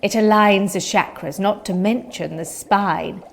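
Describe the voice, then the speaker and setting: A woman's voice: mid-range voice, a little slow, creaky, a little hiss or hum. A woman playing an eccentric, earnest, rambling one-eyed witch-alchemist in a comic audio drama. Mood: earnest, mystical.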